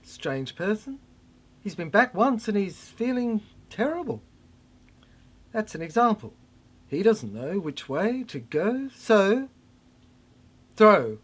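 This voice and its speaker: A performer delivering a theatrical spoken-word monologue in fragmented, halting phrases with dramatic swings. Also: mid-range voice, big pitch swings, slightly rough.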